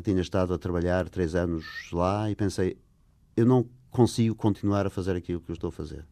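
A man's voice: fairly low pitch, dark tone, no echo. An older man from England, speaking Portuguese as a learned language, answering interview questions hesitantly with many fillers. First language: English. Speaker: guest. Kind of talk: interview conversation.

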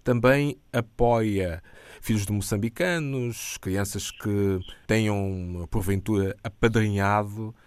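A man with a European Portuguese accent, asking formal, measured interview questions. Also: fairly low pitch, clear, resonant tone.

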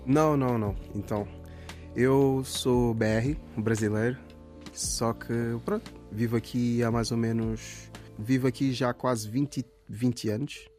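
A man's voice: mid-range voice, steady tone, measured pace, a little mellow, faint music behind. A young man from Minas Gerais who speaks a mix of Brazilian and European Portuguese. He is a dancer telling his story in a casual radio interview, rambling and full of fillers. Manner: rambling, hesitant, many fillers. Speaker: guest, dancer.

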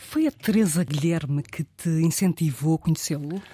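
A man's voice: a little high, moderate pace, warm tone, husky, dry recording. A man with a European Portuguese accent interviewing a guest, asking friendly prompting questions and laughing along.

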